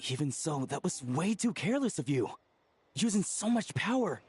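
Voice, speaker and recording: A man's voice: on the high side, sing-song, normal speed, a little mellow, soft background music. An actor playing a secretive, cautious male conspirator in a video game, speaking in a reproachful, anxious way. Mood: scolding.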